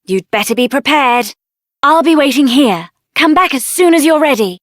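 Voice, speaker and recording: A headstrong young sorceress from a video game speaks with a British accent. Her voice is strikingly high, and she delivers lines with theatrical, assertive conviction and a fiery temper. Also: expressive, moderate pace, dry recording, studio quality. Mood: determined, pensive. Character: headstrong, fiery young woman mage avenging her brother. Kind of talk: video game character voice acting.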